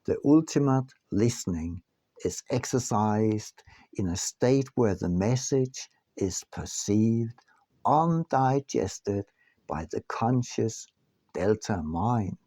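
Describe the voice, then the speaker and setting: A man reading his own book aloud as scripted narration, in a deliberate, measured manner with frequent pauses. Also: on the low side, animated, warm tone, no echo.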